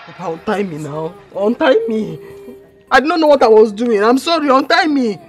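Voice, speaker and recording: A woman's voice: very high-pitched, expressive, brisk. A woman with a Nigerian accent playing a distraught young woman who pleads and wails insistently in a film drama. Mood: desperate pleading.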